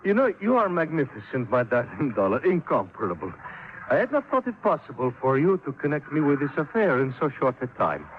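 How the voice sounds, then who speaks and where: An actor playing a suave, smug foreign villain in a 1950s radio drama, with silky, florid, mock-affectionate delivery. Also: male voice, on the high side, big pitch swings, fairly quick. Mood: smug gloating. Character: suave, gloating male foreign villain, smugly theatrical. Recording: muffled, noisy old radio recording.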